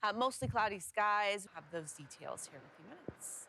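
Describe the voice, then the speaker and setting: A woman's voice: mid-range voice, animated, a little fast, creaky, bright, forceful tone, slight background noise. A woman presenting TV weather in an easygoing, explanatory way, chatting as a co-host. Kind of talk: conversational weather report and co-host banter.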